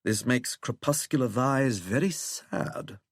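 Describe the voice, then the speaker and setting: A man's voice: mid-range voice, slow, slightly rough, no echo, clean studio sound, voice pushed up. A man voicing a suave, menacing English wizard in an audiobook, with a plummy accent and a languid, mocking delivery. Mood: silky menace. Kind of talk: audiobook character acting.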